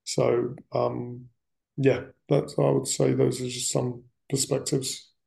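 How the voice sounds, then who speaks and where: A middle-aged man telling family history in a reflective, conversational interview style, often pausing and circling back mid-phrase. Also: mid-range voice, level tone, creaky, dark tone. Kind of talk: reflective personal storytelling in casual conversation.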